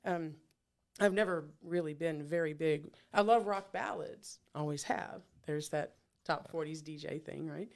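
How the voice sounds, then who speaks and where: A woman's voice: low-pitched, a little slow, gravelly. A middle-aged woman hosting an interview, chatting casually and rambling with many fillers and restarts. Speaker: podcast host.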